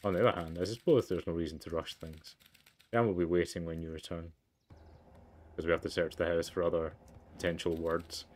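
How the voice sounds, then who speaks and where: A man's voice: low voice, fairly even intonation, brisk, a little mellow. A man doing let's play commentary and reading a game's narration aloud. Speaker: let's player. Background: game music.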